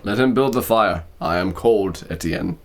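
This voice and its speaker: A man voicing a dignified old father cat in a storybook, speaking gravely and formally with a British accent. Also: deep voice, a little slow. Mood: weary, solemn. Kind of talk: storybook reading with character voices.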